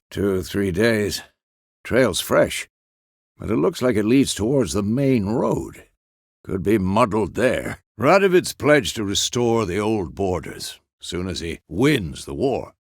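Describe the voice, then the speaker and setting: Old fantasy game mentor, gruff, fatherly, weary, steadfast, deep-leaning gravelly voice, terse and authoritative, dry studio-close sound. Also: no room sound, exaggerated voice. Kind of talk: fantasy video game character dialogue.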